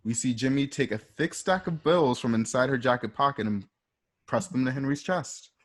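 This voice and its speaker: A man with a medium-pitched voice serves as narrator of a radio play. He reads scripted scene descriptions in a measured manner. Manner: measured, present-tense scene setting.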